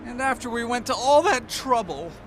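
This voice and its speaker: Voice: medium pitch, expressive, normal speed, slight background noise, natural voice. An actor playing an earnest young male crew member in a video game cutscene. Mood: disappointed.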